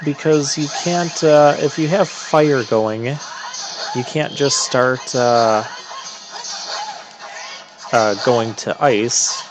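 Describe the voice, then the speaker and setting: The unhurried guy on a gaming channel, feeling his way through each explanation while the game's soundtrack plays on behind him. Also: medium pitch, rough recording. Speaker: gamer narrating a playthrough. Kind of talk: casual game commentary. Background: video game music.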